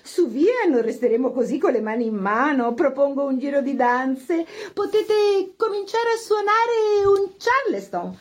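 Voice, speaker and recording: A woman voicing a vain, highly strung society hostess with an agitated, emphatic, theatrical delivery in a storybook. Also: big pitch swings, unhurried, few pauses. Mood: forced gaiety.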